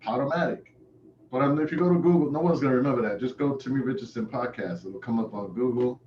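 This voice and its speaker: A man's voice: mid-range voice, quick pace, a little mellow, distant mic. A man who is a DJ being interviewed, telling stories in an informal, casual way. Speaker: DJ, interview guest. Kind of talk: casual chat. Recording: roomy, dull, noisy call audio.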